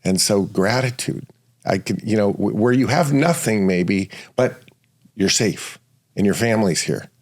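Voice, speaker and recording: Slow, gravelly-voiced man hosting. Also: fairly low pitch, lively intonation, a little mellow. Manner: halting, many restarts and fillers. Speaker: podcast host. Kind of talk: reflective interview conversation. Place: Seattle area studio.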